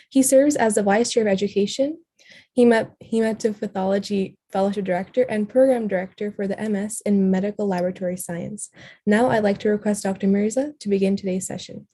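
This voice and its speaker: A woman's voice: mid-range voice, dark tone, husky. A woman hosting an online session over a video call, reading a formal introduction of the guest and stumbling on some words. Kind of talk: read-out introduction.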